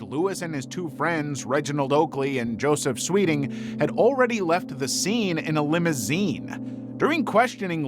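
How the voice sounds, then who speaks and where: A man reading scripted true-crime documentary narration as the narrator. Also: fairly high pitch.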